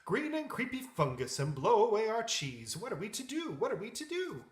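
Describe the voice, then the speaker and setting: A man's voice: high voice, huge pitch swings, normal speed, voice pushed up. A man voicing a tiny, vain male mouse who sings his rhymes in a rising, sing-song way. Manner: sing-song rhyming, rising to loud exclaims. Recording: echoey room.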